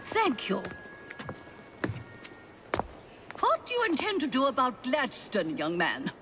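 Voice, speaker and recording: An actress playing an imperious, curious and eccentric upper-class English Victorian politician's wife in a video game, with haughty, theatrical, airy diction. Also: female voice, sits high, huge pitch swings, normal speed. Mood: indignant. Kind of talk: video game dramatic acting. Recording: music behind the voice.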